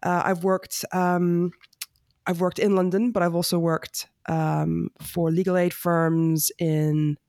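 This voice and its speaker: A woman's voice: on the low side, flat delivery, slow pace, a little mellow, dry recording. Chatty woman with a dry close sound. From France. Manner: chatty, laughs easily, many fillers. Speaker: family lawyer, podcast guest. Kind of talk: casual interview chat.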